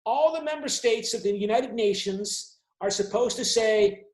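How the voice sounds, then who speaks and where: A man's voice: high-pitched, normal speed, darkish tone, echoey room. Man lecturing a class over video call. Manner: explanatory, checks in with students often. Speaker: university lecturer.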